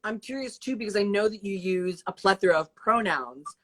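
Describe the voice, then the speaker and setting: A woman's voice: mid-range voice, expressive, brisk. A woman in her twenties hosting an interview over a video call, affirming and thoughtful, with many fillers as she asks questions. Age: 20s. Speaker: host. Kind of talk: casual interview chat.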